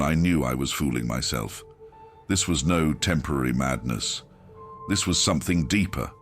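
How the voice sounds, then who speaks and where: A man narrating a grimdark horror audiobook in a grave, brooding, dramatic first-person manner. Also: very deep voice.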